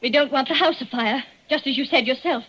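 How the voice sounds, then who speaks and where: A husky, anguished radio-drama wife. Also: female voice, high-pitched, fairly quick, fairly bright tone. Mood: defiant. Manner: earnest, melodramatic. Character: anguished, defiant wife in a love triangle. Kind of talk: 1940s radio drama acting. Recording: muffled 1940s radio recording.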